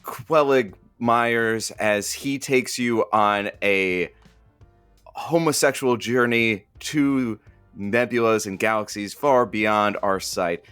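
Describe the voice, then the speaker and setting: A man playing a wry, put-upon but fond radio station engineer in an audio drama, with a deadpan, sardonic manner. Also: medium pitch, smooth. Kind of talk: audio fiction acting, radio announcing.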